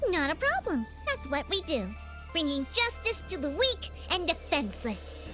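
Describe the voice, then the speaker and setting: A woman's voice: very high-pitched, big pitch swings, normal speed, pushed and bright, exaggerated voice. A woman voicing a plucky, boastful little flying fox-like female sidekick in a video game, perky and cheeky. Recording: music and rain behind the voice.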